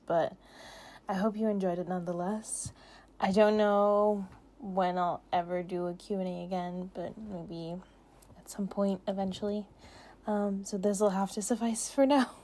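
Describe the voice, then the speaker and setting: A woman's voice: medium pitch, dark tone. A young woman in a casual question-and-answer chat, talking in an informal, rambling, giggly way. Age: young adult. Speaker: content creator answering subscriber questions.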